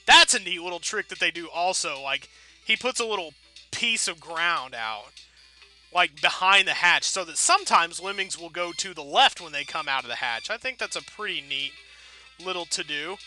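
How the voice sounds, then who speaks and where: Man, very bright forceful voice, slightly high pitch, wry wordy let's play commentary, self-deprecating. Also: lively intonation, normal speed, faint music behind. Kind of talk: casual rambling video game commentary.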